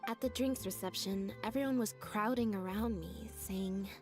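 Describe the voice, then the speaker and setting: An actress voicing a deadpan, understated young female magician's assistant with robot-like habits in a video game. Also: medium pitch, normal speed, lightly acted. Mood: flat, mildly weary. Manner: deadpan, understated, matter-of-fact. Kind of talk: video game character dialogue. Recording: game audio with music behind. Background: background music.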